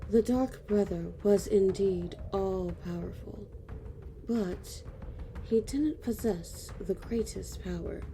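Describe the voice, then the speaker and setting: A woman playing a calm, ancient queen in a fantasy audio drama, speaking in a measured, regal way. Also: mid-range voice, slight background noise. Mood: grave, knowing. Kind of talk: fantasy audio roleplay acting.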